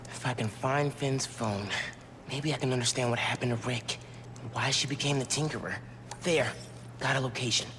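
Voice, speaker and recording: A man's voice: medium pitch, moderate pace, natural voice. An actor playing an earnest, determined teenage boy hero with a boyish delivery in a dramatic video game scene. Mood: focused. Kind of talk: dramatic game cutscene acting. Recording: loud ambient and vehicle noise.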